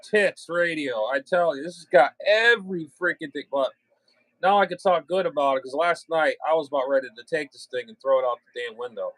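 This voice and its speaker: A man with a slightly high-set voice hosts a casual livestream. He talks bluntly and loosely, in slang peppered with swearing. The sound is dry, with no room around it. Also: brisk, fairly bright tone, no echo, rough recording. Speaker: livestream host and ham radio hobbyist.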